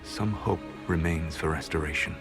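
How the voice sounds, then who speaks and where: A man voicing an ancient elven trickster god in a video game, grave, proud and regretful, speaking in measured, formal phrases. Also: extremely low pitch, over music. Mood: resolute, regretful. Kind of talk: dramatic video game dialogue.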